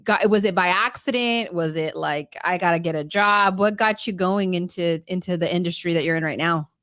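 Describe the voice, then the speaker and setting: Woman host, lower voice, halting questions, dry sound. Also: a bit bright, dry recording. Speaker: interviewer. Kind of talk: casual podcast interview.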